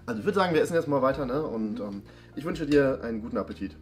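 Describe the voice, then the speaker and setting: A man's voice: medium pitch, animated, rapid-fire, music in the background. A young adult man making improvised, awkward date conversation in a small studio. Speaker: performer in a comedy dating video. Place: small indoor studio set.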